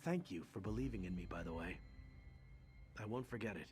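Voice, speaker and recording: A man's voice: lowish voice, lively intonation, a little fast, a little mellow, breathy edge. A man playing a good-natured, wry knight in a video game, easygoing and self-deprecating. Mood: humble, sincere. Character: wry, good-natured male knight who lost his powers. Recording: game music behind the voice.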